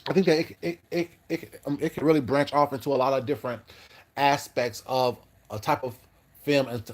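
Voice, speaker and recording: A man hosting a casual livestream, rambling through his thoughts, repeating words and greeting viewers by name. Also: medium pitch. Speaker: YouTube livestream host. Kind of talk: casual livestream chat reading comments.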